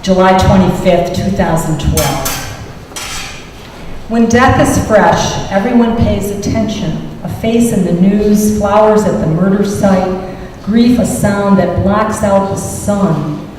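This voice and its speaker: A woman's voice: medium pitch, slow, darkish tone. A woman poet speaking at a public reading of her work, recorded in a reverberant room. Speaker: poet reading from own book and taking questions. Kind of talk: poetry reading and audience question-and-answer. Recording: echoey hall, distant mic, faint noise.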